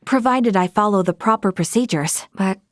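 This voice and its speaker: A woman's voice: medium pitch, normal speed, no room sound, clean studio sound, natural voice. An actress voicing an earnest, modest young woman, a space officer from a prestigious family, in a video game, speaking politely and sincerely. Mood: hesitant. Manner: polite, self-effacing, sincere.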